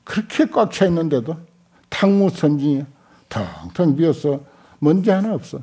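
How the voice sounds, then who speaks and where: An elderly monk, a man, teaches scripture in a soft, mellow voice pitched a little high. He speaks in short halting phrases into a desk microphone and repeats key points with emphasis. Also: very animated, husky. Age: older, 70s or above. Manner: unhurried, halting with frequent interjections, emphatic repetition. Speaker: Buddhist monk, lecturer. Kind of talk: Buddhist scripture lecture. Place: indoor lecture hall with seated audience. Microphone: desk stand microphones.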